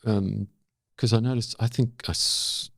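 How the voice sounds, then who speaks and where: An older man speaking as a podcast guest, in a halting, thoughtful and polite conversational way. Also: fairly low pitch, steady tone, vocal fry, a bit bright, dry recording, clean studio sound. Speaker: podcast guest, former journalist and actor. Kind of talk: casual conversational interview.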